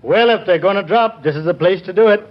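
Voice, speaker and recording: An actor playing a droll tavern waiter, giving brief deadpan replies in 1950s radio comedy. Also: male voice, high voice, quick pace, natural voice. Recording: very noisy, muffled old radio broadcast.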